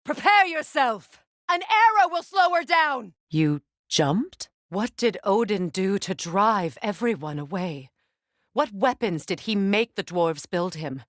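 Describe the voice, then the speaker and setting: A woman's voice: medium pitch, dry recording, natural voice. A proud Norse goddess from a fantasy video game, played by a woman. She is a middle-aged warrior and mother who carries grief. Her words are formal and earnest, in a close, dry recording. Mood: urgent battle shouting. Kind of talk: dramatic game dialogue.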